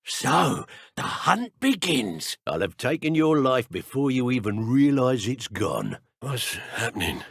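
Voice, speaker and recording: A man with a British accent acting a menacing, taunting dark wizard poacher in a video game, in a theatrical, colloquial way. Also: mid-range voice, big pitch swings, unhurried, gravelly, hardly any pauses, slightly rough, no room sound, clean studio sound, full character voice. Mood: gloating, threatening.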